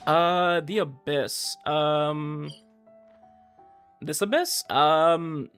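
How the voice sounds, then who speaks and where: A man with a fairly high voice hosts a casual gaming livestream. He talks conversationally and a bit haltingly, repeating words as he answers chat, with game music playing behind him. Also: fairly bright tone, smooth. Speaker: livestream host, gamer.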